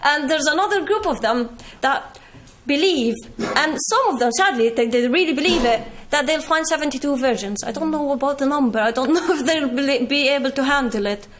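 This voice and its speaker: A woman in her twenties with a Palestinian British accent, earnestly telling her personal story to an audience with halting self-corrections and wry asides. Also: high-pitched, a little hiss or hum. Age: 20s. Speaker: speaker giving a talk. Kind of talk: personal testimony talk to an audience.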